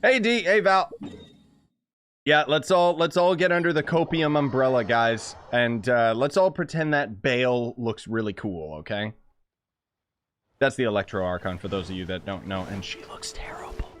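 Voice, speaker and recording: A man from Canada giving casual, excitable and sarcastic commentary while playing a video game. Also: medium pitch, normal speed, slight background noise. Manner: excitable, sarcastic, talks to chat. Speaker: gaming video creator. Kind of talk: casual let's-play commentary.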